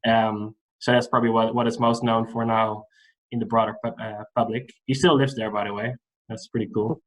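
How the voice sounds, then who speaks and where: A man's voice: on the low side, fairly quick, rough recording. Dutch-accented man giving an online lecture. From Netherlands. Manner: relaxed, hesitant with many fillers. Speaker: guest lecturer. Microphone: video call.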